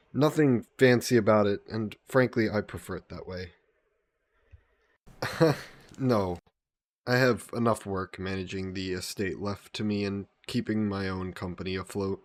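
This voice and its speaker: A courteous old-world vampire nobleman, acted by a man in an intimate audio drama. His voice sits on the low side, and he speaks in polite, formal phrases, gentle and modest with a touch of wistfulness. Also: lightly acted. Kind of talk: scripted one-sided audio roleplay, intimate and conversational.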